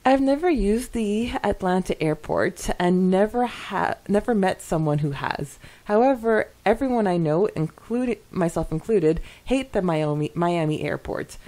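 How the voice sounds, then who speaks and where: A woman podcast co-host reading out listener comments in an expressive, conversational way with casual asides. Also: medium pitch, a little slow, few pauses.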